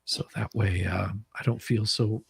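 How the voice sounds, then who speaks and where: Male livestream host, creaky voice, dry close sound, loose and rambling delivery that circles back on itself. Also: on the low side, fairly even intonation, measured pace, no room sound. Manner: rambling, repeats words while thinking. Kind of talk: casual livestream chat.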